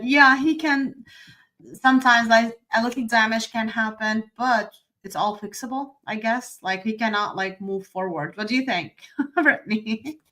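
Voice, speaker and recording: A woman from Syria co-hosting a casual live-stream discussion, chatty and quick to laugh between points. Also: on the high side, bright, forceful tone. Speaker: co-host.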